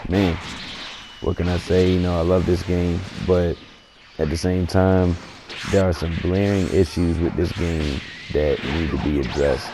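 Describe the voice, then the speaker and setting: A man getting over a cold, his voice scratchy and gravelly, giving laid-back, opinionated commentary on a video game. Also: sits low, fairly even intonation, a little slow, over music. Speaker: gaming commentator. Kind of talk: casual gaming commentary.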